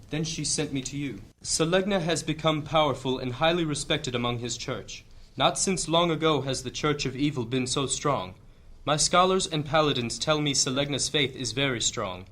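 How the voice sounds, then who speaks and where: An actor playing a grave, earnest church leader, speaking in measured, oratorical fashion in a fantasy audio drama. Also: male voice, medium pitch, fairly bright tone, lightly acted. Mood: solemn confiding. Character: solemn male high church leader, earnest and weighty.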